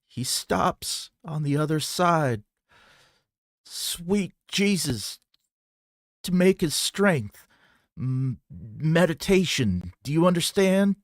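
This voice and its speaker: A man's voice: on the high side, sing-song, slow pace, no room sound, clean studio sound, pitched above the performer's own voice, full character voice. A man voicing a huge, terrified, fanatical woman in an audiobook, defiant and gasping in broken outbursts.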